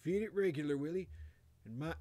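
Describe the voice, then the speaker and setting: A man voicing a dying, half-mad old rustic grandfather in a horror story, halting and urgent, with wheezing, choked speech. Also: on the high side, dark tone, voice pushed up, lightly acted. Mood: urgent, failing.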